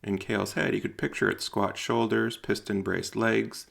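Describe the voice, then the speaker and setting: A man narrating scripted dramatic fiction in a solemn, deliberate storytelling manner. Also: lowish voice, level tone, gravelly, a bit bright.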